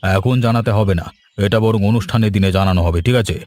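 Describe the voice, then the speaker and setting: A man voicing a kindly village headman in a cartoon, speaking in a measured, considerate way. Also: fairly low pitch, darkish tone, dry recording, close to an everyday voice. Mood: calm, benevolent. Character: kindly village headman organizing a cooking contest. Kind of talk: Bengali village cartoon dialogue. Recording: music under the voice.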